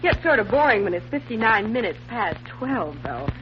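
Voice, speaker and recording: An actress playing a pert, teasing young assistant to a magician detective in breezy 1940s radio drama style. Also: female voice, on the high side, very animated, moderate pace, over music. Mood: perky, teasing. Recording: noisy, muffled vintage 1940s radio recording.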